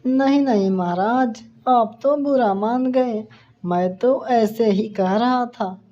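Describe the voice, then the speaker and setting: A woman's voice: a little high, takes it slowly, mellow, muffled recording. A woman voicing a clever, cheeky male monkey in a children's cartoon, mock-polite and playful. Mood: placating. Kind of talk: animated children's story dialogue.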